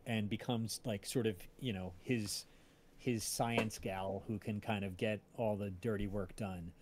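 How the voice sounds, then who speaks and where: A man's voice: fairly low pitch, steady tone, a little slow, background noise. A man hosting a casual livestream chat, rambling along and often searching for words as he tells stories. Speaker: podcast creator and stream host.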